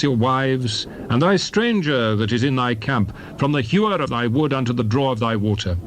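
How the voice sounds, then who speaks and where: A stern male prophet, acted by a man, exhorts his people in solemn, emphatic biblical oratory. The pitch is middling and the delivery measured and declamatory, as in a dramatized scripture recording. Faint noise and ambience sit behind the voice. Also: close to an everyday voice. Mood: solemn exhortation. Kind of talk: dramatized King James Bible reading, declamatory oratory.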